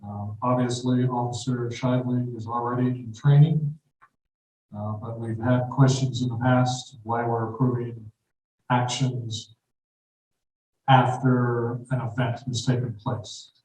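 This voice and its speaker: A man chairing a city council meeting, calling votes and reading agenda items in a brisk, procedural way. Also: medium pitch, level tone, a little mellow. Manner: brisk procedural, quickens when explaining. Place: council auditorium. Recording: echoey hall from far off, faint noise.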